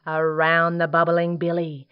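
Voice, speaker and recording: A woman reading a children's story aloud in an expressive style. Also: deep voice, measured pace, clear, resonant tone, lo-fi sound. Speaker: storybook reader.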